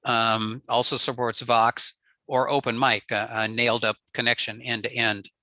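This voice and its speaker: A man with a very bright, sharp voice walks through a technical slide presentation at an easy pace, dropping frequent ums and uhs as he explains. The sound is dry and close, with no room around it. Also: mid-range voice, flat delivery, normal speed, dry recording. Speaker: ham radio presenter.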